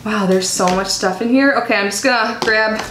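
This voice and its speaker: A woman's voice: mid-range voice, a little fast, fairly bright tone, reverberant, a little hiss or hum. A young woman chatting casually as she unboxes a subscription box, her voice nasal from allergies and full of "like" and "um.". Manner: chatty and bubbly, lots of likes and ums. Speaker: unboxing vlogger.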